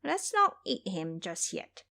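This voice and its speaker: A woman voicing a male demon king, cautious and condescending, musing in a measured, quiet way in an audio story. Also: medium pitch, big pitch swings, creaky, exaggerated voice. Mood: calm, scheming.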